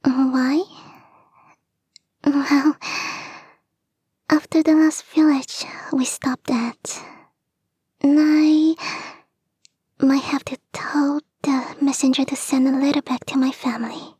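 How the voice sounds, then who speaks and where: A woman voicing a clingy young kitsune fox girl in an intimate ASMR roleplay, soft and breathy, often whispering, coaxing and sweetly insistent, close to the microphone. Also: sits high, flat delivery, slow pace, long pauses, dry recording. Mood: sheepish. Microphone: close, dry microphone.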